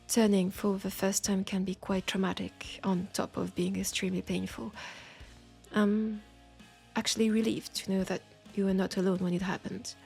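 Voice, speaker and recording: A woman with a French accent playing a knowing, teasing witch in an intimate roleplay audio drama, with husky, breathy speech and dramatic pauses. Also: mid-range voice, darkish tone. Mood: tender, teasing. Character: knowing, teasing, compassionate witch in a secluded werewolf town.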